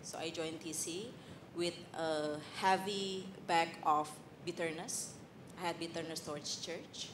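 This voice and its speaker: A woman from Indonesia with an Indonesian accent, sharing a candid personal testimony on a church panel, halting with fillers, on a handheld mic in a large hall. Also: lowish voice, steady tone, background noise. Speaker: panel guest.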